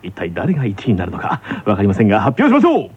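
Formal teenage team captain, wildly animated pitch. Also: male voice, quick pace, dull audio, noisy background. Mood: announcing. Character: stern teenage boy, tennis captain, formally hosting a radio show. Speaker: radio show host. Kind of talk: scripted comic radio drama hosting.

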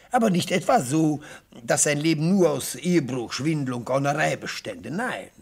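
An actor playing an elderly, theatrical defence lawyer in a radio play, speaking in rhetorical, declamatory phrases with savoured pauses and a husky voice. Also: male voice, mid-range voice, full character voice. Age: older. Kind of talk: radio play courtroom oratory. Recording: vintage radio play recording.

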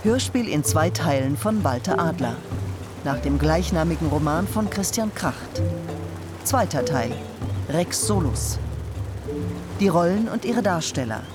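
Slow, formal female announcer over music. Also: deep voice, lively intonation. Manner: deliberate, list-like. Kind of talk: formal scripted radio drama credits reading.

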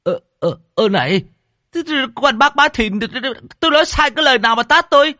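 A pompous rich old man, voiced by a male storyteller, stammering and blustering in a high, strained voice. His pitch leaps up and down, and the recording is close and dry. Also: expressive, a little fast, pushed and bright, no room sound, exaggerated voice. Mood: flustered stammer.

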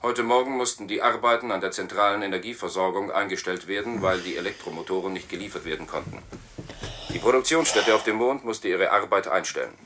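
An actor playing a solemn, urgent propaganda announcer of a space city, declaiming a broadcast appeal in a 1970s German radio play. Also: male voice, sits low, quick pace, sharp tone, a little hiss or hum.

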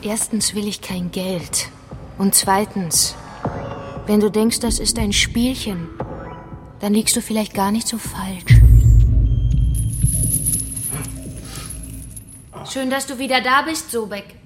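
A woman's voice: medium pitch, measured pace, loud music behind the voice, close to an everyday voice. An actress playing a menacing female kidnapper in a radio crime drama, taunting her captive in a controlled way. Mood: cool menace. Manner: taunting, controlled, familiar address.

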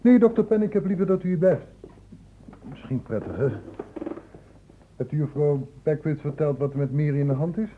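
A man playing a shrewd senior doctor in a Dutch radio play, brisk and probing, with a condescendingly paternal authority. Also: a little high, expressive, close to an everyday voice. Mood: probing, fatherly. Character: shrewd senior male doctor questioning a student, brisk, fatherly. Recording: thin phone-like old radio recording, hissy.

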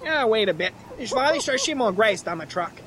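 A man's voice: high-pitched, big pitch swings, a little fast, crowd noise. An actor playing a blunt, practical handyman who speaks slangy Quebec French with an English accent in a radio comedy. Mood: bossy, practical. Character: rough anglophone handyman speaking broken franglais, blunt, practical. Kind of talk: old-time radio comedy acting.

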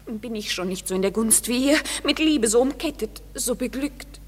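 A plaintive young woman in an old radio play. Her voice is high, and it rings in a reverberant hall. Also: lively intonation, moderate pace, breathy edge. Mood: wounded. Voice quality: plaintive, wounded. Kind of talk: classic radio play acting.

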